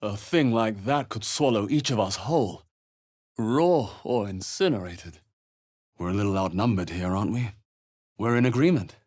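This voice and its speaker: A man voicing a wary, observant fantasy adventurer hero in a video game, with a husky voice and a wry, measured delivery. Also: fairly low pitch, expressive, darkish tone. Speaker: video game player character. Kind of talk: video game voice lines, short reactive remarks.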